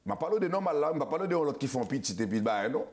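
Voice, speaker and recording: A man's voice: fairly high pitch, sing-song, fast, darkish tone. A man with a Haitian accent hosting a casual talk show. He stammers and restarts a lot and mixes Creole and English words into his French. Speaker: show host.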